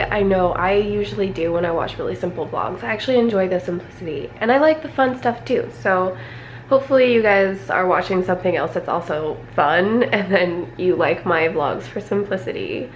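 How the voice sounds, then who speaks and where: A young woman vlogging at home with a raspy voice from a lingering cold, rambling casually and clearing her throat often. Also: medium pitch, slight background noise, poor audio. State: recovering from a cold, coughing. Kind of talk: casual vlog chat. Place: at home, indoors.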